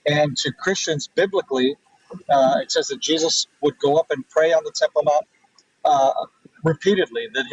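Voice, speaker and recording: A man from Israel answering interview questions thoughtfully, pausing often with fillers and restarts. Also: on the high side, breathy edge. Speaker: guest. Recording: distant, dull remote audio.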